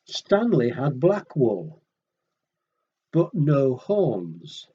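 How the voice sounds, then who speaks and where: A man reading a story aloud to young children in an expressive way, pausing for little asides and questions to his listeners. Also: medium pitch, husky, lo-fi sound.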